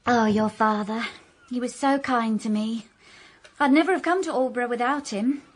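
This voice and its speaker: A woman's voice: a little high, normal speed, natural voice. An actress playing a gushing, fluttery former girlfriend who walks dogs, in a radio comedy. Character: Judy Page, gushing dog-walking ex-girlfriend. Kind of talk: radio drama acting.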